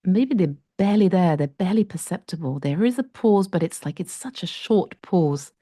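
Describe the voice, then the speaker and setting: A woman with a low, mellow voice leads a breathing meditation. She talks slowly and softly and leaves long pauses between phrases. The sound is close and dry. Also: lively intonation, dry recording. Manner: slow, soft and gentle, with long pauses. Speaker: meditation guide.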